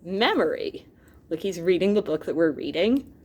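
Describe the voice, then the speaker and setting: Animated woman teacher reading aloud. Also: on the low side, moderate pace, slightly rough. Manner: animated, with asides and exclamations to listeners. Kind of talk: expressive children's educational read-aloud.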